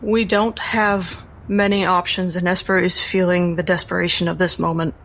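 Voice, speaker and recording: A woman's voice: on the low side, level tone, normal speed, fairly bright tone, slight background noise. A woman playing a tabletop roleplaying game, narrating her character's actions in the third person.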